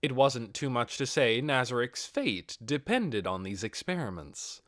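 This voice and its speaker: Male narrator for a fantasy novel. Expressive, animated delivery at mid pitch, recorded dry. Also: measured pace, dry recording. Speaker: audiobook reader.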